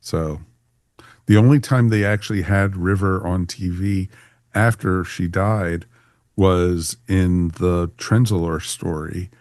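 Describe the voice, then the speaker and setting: Man with a very low, close voice. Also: steady tone, takes it slowly, a little mellow, dry recording. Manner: conversational, punctuated with "you know". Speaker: podcast panel host. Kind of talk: casual discussion, reviewing a story.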